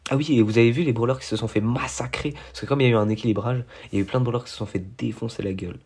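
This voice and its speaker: A young man with a cold, nasal and stuffy, giving excitable, slangy commentary over his own gameplay and poking fun at his mistakes. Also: mid-range voice, racing, husky. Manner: excitable, self-mocking, slangy. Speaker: gaming YouTuber.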